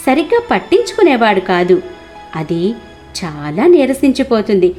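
A woman's voice: mid-range voice, mellow. A woman storyteller reading scripted narration for a cartoon moral tale.

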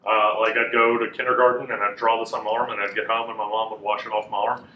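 A man in his thirties teaching a class in a casual, chatty way, with a loud voice that carries and many fillers. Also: lowish voice, level tone, quick pace, lo-fi sound, a little hiss or hum. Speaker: Bible teacher. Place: classroom with a whiteboard, indoors. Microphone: room mic from a fixed camera. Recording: echoey room, voice a bit far off.

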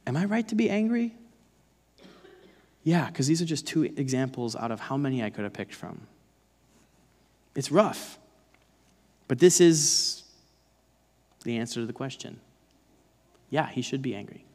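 Male preacher, thirties, mid-pitched, conversational but emphatic, big-hall reverb. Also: lively intonation, measured pace, pauses often, a little mellow. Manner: emphatic, dramatizes points with rhetorical questions. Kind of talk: conversational sermon. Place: large dark church stage, indoors.

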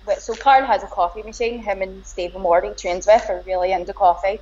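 A woman's voice: medium pitch, steady tone, brisk, darkish tone, slight background noise. Guest, Belfast woman in her thirties, easygoing and laughing, muffled lo-fi call audio. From Northern Ireland. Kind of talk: casual video-call chat. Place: room at home, indoors. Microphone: laptop or phone camera on a video call. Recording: dull lo-fi video-call audio.

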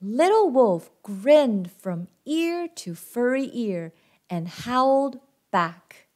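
A woman reading a picture book aloud to children as host and narrator, expressive and deliberate in her delivery. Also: fairly high pitch.

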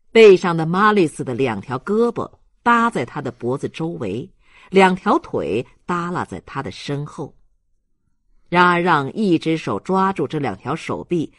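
An audiobook narrator reading a novel aloud in a measured, dramatic storytelling manner. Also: medium pitch, no echo, studio quality.

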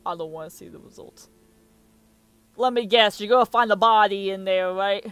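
A man's voice: high voice, moderate pace, a bit bright, smooth. A young man giving casual reaction commentary and reading captions aloud, with muttered asides, on a close mic in a small room. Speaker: reaction video host.

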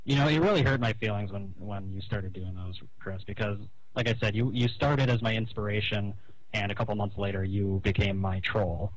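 Low-voiced man on a phone call. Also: moderate pace, dry recording. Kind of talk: phone conversation, airing grievances. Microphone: telephone call.

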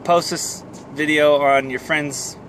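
A man's voice: fairly high pitch, fairly even intonation, slow pace, a bit bright, rough recording. A man in his thirties rambling casually to camera while driving, with a joking, self-deprecating manner. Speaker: vlogger. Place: inside a moving car. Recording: car cabin with road and fan noise. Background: car and air noise.

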